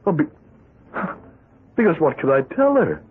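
Husky, flustered, desperate accountant. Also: male voice, on the high side, wildly swooping pitch, full character voice. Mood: flustered, pleading. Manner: flustered, pleading, halting. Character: desperate, cornered accountant with gambling debts. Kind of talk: 1970s radio drama acting. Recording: muffled old radio broadcast with music.

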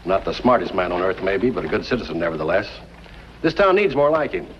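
Fretful, animated frontier banker. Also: male voice, deep voice, fast. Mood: approving. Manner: emphatic, opinionated. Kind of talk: 1950s western TV acting. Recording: muffled, noisy vintage soundtrack.

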